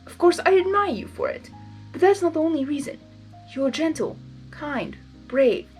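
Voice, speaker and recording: A woman's voice: high-pitched, sing-song, normal speed, darkish tone, soft background music, pitched above the performer's own voice, poor audio. A woman voicing a gallant, smitten male chaos creature with tender, flowery speech in a storybook reading. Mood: gushing, emphatic. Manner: tender, flowery, emphatic courting.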